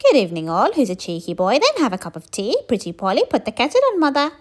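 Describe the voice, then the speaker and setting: Casting: cheeky parrot skeleton for a picture book woman doing it, squawky chatter, animated swoops upward. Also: fairly high pitch, quick pace, voice pushed up.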